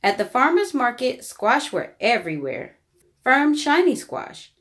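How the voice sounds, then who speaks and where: A woman reading a children's book aloud in an expressive, deliberate storytelling style. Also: high-pitched. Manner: animated, deliberate storybook delivery. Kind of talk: expressive read-aloud narration for young children.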